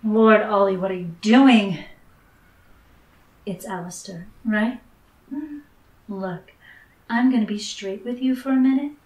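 A woman's voice: mid-range voice, slow pace, pauses often, a little mellow, recorded from a distance, natural voice. Woman acting a roomy-sounding sardonic noir dame. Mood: brash, exasperated. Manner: blunt, teasing, sarcastic. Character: tough, sardonic woman in noir detective tale. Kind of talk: audio drama acting. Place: indoor room on video call. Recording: roomy, off-mic home webcam sound.

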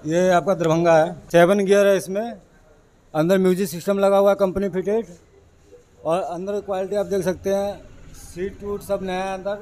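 A man's voice: sits high, level tone, normal speed, darkish tone, poor audio. A young man working as a car salesman, giving terse, matter-of-fact details about vehicles on a showroom lot. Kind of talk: short answers about cars. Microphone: lapel mic held in hand.

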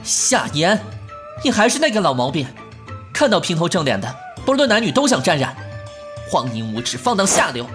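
A woman playing a brash, sharp-tongued young gentleman in a Chinese costume audio drama, theatrical and indignant. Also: fairly low pitch, very animated, moderate pace, soft background music.

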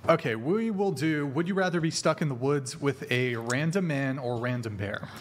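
A man's voice: mid-range voice, moderate pace, a little hiss or hum. Man hosting a casual panel. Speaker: podcast host. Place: indoor podcast studio. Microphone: podcast microphone.

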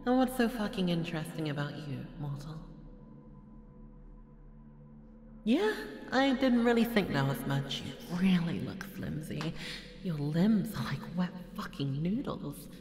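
A woman voicing a bored, volatile, haughty goddess in an ASMR audio roleplay, drawling and slipping into breathy murmurs. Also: fairly low pitch, animated, moderate pace, darkish tone, slight background noise. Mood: mocking, amused. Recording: echoey hall reverb with music behind.

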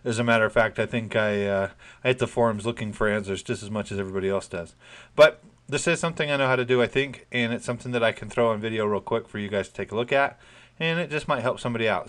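A man giving an informal, chatty screen-recorded software tutorial, explaining each step plainly and downplaying his own expertise. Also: medium pitch.

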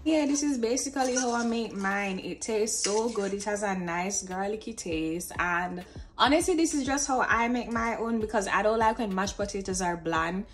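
A young woman with a Jamaican accent talks casually through her cooking in a home kitchen. Her voice sits at a middle pitch and her phrasing is informal, tinged with Creole. Soft music plays faintly behind her. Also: moderate pace. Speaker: cooking vlogger. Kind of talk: casual chat while cooking.

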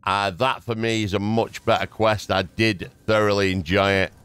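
A man's voice: on the low side, little pitch movement, moderate pace, fairly bright tone, clean tone, dry recording. A man hosting a gaming video, giving casual, laid-back and appreciative playthrough commentary into a close mic. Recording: close dry mic, game music behind.

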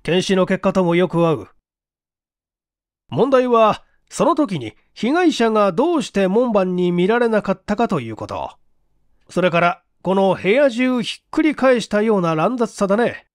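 A male narrator plays a middle-aged detective chief in a mystery audiobook. He is composed and authoritative, reasoning step by step. The pitch sits high for a man and moves widely, in a very dry recording. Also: very animated, dry recording. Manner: measured, authoritative, reasoning aloud. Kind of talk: audiobook character acting.

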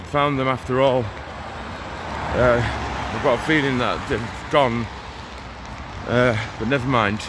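Earnest man preaching on a rainy street. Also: fairly high pitch. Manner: earnest, urgent, repetitive preaching with asides. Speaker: street evangelist vlogger. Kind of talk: walk-and-talk preaching vlog. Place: outdoors on a town street in the rain. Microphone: phone held at arm's length. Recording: phone on a street with traffic noise. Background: passing traffic.

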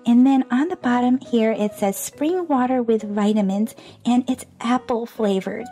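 Bubbly high-voiced woman losing her voice. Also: unhurried, warm tone. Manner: bubbly and gushing, repeats "super awesome", giggles often. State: under the weather, losing her voice. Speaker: toy unboxing host. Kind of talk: cheerful toy unboxing narration. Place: indoors at a tabletop toy display.

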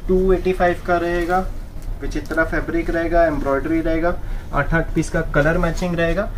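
Young man, saree seller, slightly high voice, brisk sales patter, faint room noise. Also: steady tone. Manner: brisk sales patter, repeating prices in English. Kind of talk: wholesale product showcase. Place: indoor saree shop floor.

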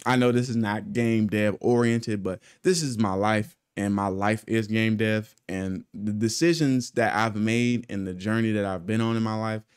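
A man's voice: on the low side, fairly even intonation, moderate pace, hardly any pauses, smooth, no room sound. A young man hosting his own channel, telling a story in a loose, rambling, profane way into a studio mic at home. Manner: laid-back, profane, rambling with restarts. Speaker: channel host. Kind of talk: casual storytime. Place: small home studio with foam panels. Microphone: studio mic with pop filter on arm.

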